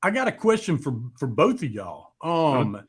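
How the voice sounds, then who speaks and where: A man's voice: fairly high pitch, sing-song, husky. A man with a Southern US accent chatting casually, blunt and sweary, feeling his way through questions. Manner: blunt, profane, halting questions. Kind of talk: casual chat.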